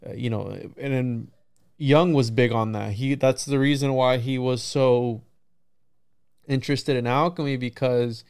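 A man's voice: medium pitch, fairly even intonation, a little slow, a little mellow, smooth. A man in a casual podcast conversation, musing in a rambling way and often checking in with "right" and "you know". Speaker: podcast host.

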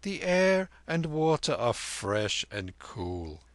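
A man voicing a gentle, weary old Tibetan lama who speaks in solemn, measured, old-fashioned phrases. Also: fairly high pitch, very animated, dry recording, pitched above the performer's own voice. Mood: gentle, dignified.

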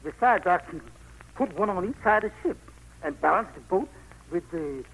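A man's voice: high-pitched, lively intonation, moderate pace, a bit bright, slightly rough, heavily acted, rough recording. An actor playing a humble, crafty country man in an old radio play, deferential and coaxing. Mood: wheedling. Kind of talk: 1940s-50s radio play acting. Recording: vintage radio broadcast recording.

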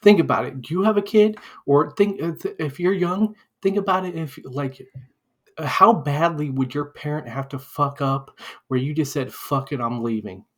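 A man's voice: on the high side, moderate pace. Impassioned, profane man debating casually. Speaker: podcast co-host.

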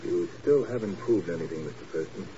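An actor playing a stern, sceptical military colonel with a formal, blunt manner in a 1950s radio drama. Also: male voice, low-pitched, expressive, a little fast, mellow. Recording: muffled, hissy old radio recording.

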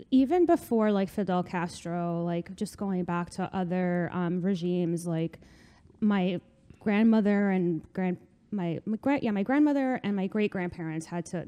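A woman's voice: fairly low pitch, dark tone. Chatty woman from New Jersey. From New Jersey, USA. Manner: chatty, laughs often, many fillers. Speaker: guest. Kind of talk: casual interview chat. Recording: long room reverb.

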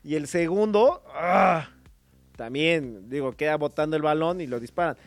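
An opinionated man argues football points on a talk show, his voice a little high for a man. The sound is dry and close, with faint music under it. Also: normal speed, no room sound. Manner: opinionated, animated. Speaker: sports show co-host. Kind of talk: casual sports talk.

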